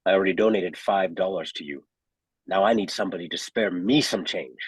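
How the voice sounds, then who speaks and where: A man playing an irritated, sarcastic unemployed man with a husky voice, indignant and exasperated in a script read. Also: deep voice, brisk, no room sound, rough recording. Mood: wary, irritated. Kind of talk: table read of a horror script.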